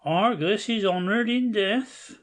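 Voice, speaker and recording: A man plays a commanding ruler of the gods in an audio play. The voice is notably high for a man and very slow, with formal, stately declamation. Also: fairly bright tone. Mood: solemn pleading. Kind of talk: amateur audio play reading.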